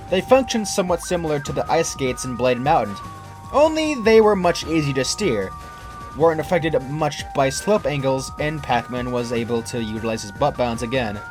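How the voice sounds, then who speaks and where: A man reading a scripted first-person horror story as its narrator. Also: mid-range voice. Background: background music.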